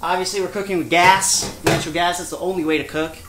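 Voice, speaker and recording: A man's voice: high-pitched, steady tone, quick pace. A man livestreaming from his home kitchen, talking casually and informally with some swearing as he hosts and reads out viewer comments. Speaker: host of a cooking livestream. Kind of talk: casual livestream chat while cooking. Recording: roomy kitchen, clear background noise.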